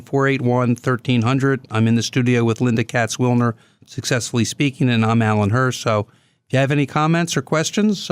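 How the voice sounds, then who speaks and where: A man hosting a business radio talk show in a studio, talking in a halting way with fillers and restarts. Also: medium pitch, smooth, no room sound.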